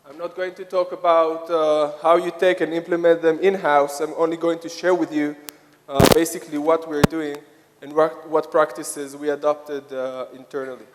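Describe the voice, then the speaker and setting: A man with an Israeli accent giving a conference presentation, speaking haltingly with frequent hesitations and repeated phrases. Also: a little high, little pitch movement, slight background noise. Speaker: conference speaker, software product lead. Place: conference hall, speaking to an audience. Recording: echoey hall with long reverb.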